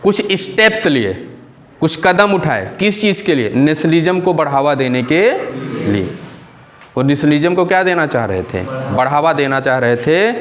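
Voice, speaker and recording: A male teacher lectures a classroom in a somewhat high voice. He poses questions and answers them himself, repeating key terms in English and Hindi. A faint hum sits under the recording. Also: expressive, moderate pace.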